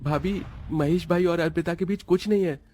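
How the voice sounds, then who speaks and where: A man's voice: fairly high pitch, normal speed, mellow, voice pushed up, natural voice. Man putting on a lighter, polite younger brother. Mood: earnest. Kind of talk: cartoon story character dialogue.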